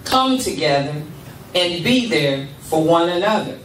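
An older woman speaks slowly and deliberately into a handheld mic in a church sanctuary. Her voice sits a little high. The sound is roomy and picked up from a distance. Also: expressive, a little hiss or hum. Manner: measured, deliberate, with congregation responses. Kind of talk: spoken address at a church service. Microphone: handheld microphone. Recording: echoey room, camera far off.

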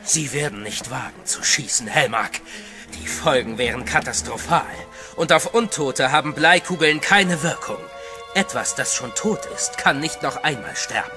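An actor playing a menacing gunman in league with the undead, speaking with smooth, mocking menace. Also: fairly high pitch, animated, normal speed, music in the background, natural voice. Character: menacing gunman serving the undead, male adult. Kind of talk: radio drama acting.

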